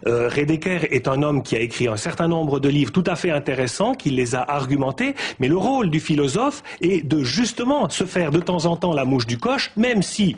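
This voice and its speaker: A man speaking as a guest in a televised debate, making a measured, emphatic argument point by point. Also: a little high, very animated, husky.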